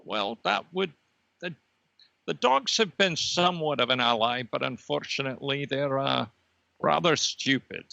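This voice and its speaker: A man voicing a smug, cat-hating red laser dot in an improvised comedy interview, measured and superior in manner. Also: mid-range voice, lively intonation, creaky, pushed and bright, dry recording, muffled recording, full character voice. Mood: condescending.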